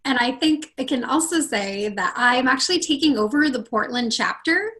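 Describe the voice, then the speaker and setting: This is a woman with a husky, slightly hoarse voice, pitched a little on the high side. She talks casually as a podcast guest and brings quoted conversations to life with sudden leaps upward in pitch. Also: normal speed. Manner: expressive, acts out quoted dialogue with big pitch jumps. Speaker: podcast guest, confidence consultant.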